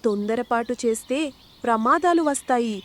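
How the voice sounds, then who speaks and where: A woman's voice: fairly high pitch, animated, moderate pace, close to an everyday voice. A woman voicing a kind, motherly female bird in a children's story, speaking in a soothing, advising way, with faint noise behind. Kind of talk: storybook character voice.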